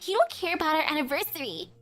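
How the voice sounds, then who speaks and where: A woman voicing a tearful, clingy younger sister who whines and wails in a high voice. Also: lively intonation, fast talker, fairly bright tone. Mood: sobbing. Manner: sobbing, whiny, high-pitched wailing.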